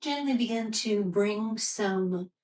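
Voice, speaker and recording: A woman teaching restorative yoga, guiding a meditation in a soothing, gentle, unhurried manner. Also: mid-range voice, far from the mic.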